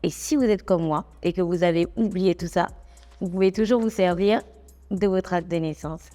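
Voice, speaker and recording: A young adult woman, presenting civic information in a clear, measured, explanatory way. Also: lowish voice, dark tone, big-room sound, soft background music. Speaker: radio host and reporter.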